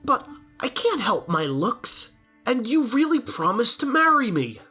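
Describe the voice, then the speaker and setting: A woman voicing a lovelorn, vain male glass blower in a storybook audio drama, husky and pleading. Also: fairly high pitch, big pitch swings, unhurried, sharp tone, faint music behind, exaggerated voice. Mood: hurt, pleading.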